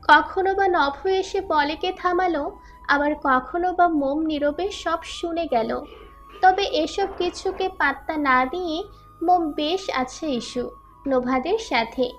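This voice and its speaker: Female narrator for scripted dramatic fiction, high register, faint music bed. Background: faint background music.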